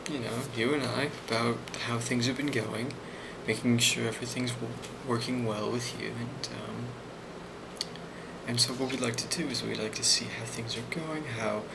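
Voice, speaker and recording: A man speaking softly in an ASMR role play as a boss, hesitant and reassuring, with frequent pauses. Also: medium pitch, steady tone, gravelly, noisy background. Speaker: ASMR role-player playing a boss giving a review.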